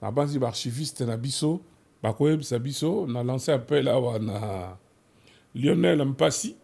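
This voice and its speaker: Male host, Congolese accent of Kinshasa; relaxed, dark timbre with audible fry, conversational storyteller. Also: mid-range voice, normal speed. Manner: switches between French and Lingala. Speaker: host of a music history programme.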